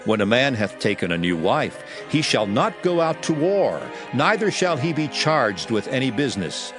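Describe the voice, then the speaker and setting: Male actor as biblical prophet, solemn commanding declamation, highly animated intonation, archaic audio Bible delivery, music underneath. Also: normal speed, lightly acted. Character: Moses, solemn male lawgiver addressing his people. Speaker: scripture reader in an audio Bible. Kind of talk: dramatized scripture reading.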